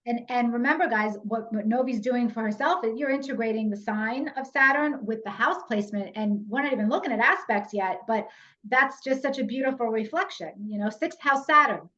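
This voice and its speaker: Animated woman podcast host. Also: fairly high pitch, fairly quick. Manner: animated, addresses listeners directly. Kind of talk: casual conversation. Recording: muffled lo-fi audio.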